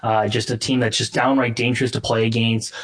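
A man's voice: medium pitch, level tone, a little fast. A man giving casual sports analysis as an interview guest, often correcting himself as he goes. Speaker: hockey analyst, guest writer.

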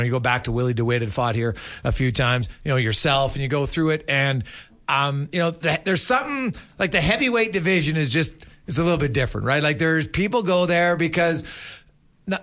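A man's voice: mid-range voice, a bit bright. A man, chatting casually and in a rambling, opinionated way as a sports interviewer. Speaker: sports radio host and interviewer.

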